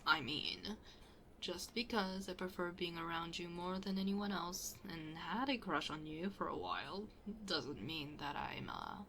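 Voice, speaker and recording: A woman's voice: fairly low pitch, measured pace, a bit bright, slight background noise. A woman playing a shy, flustered best friend hiding a crush in an intimate ASMR roleplay, hesitant and often dropping to a near-whisper. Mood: embarrassed, confessing.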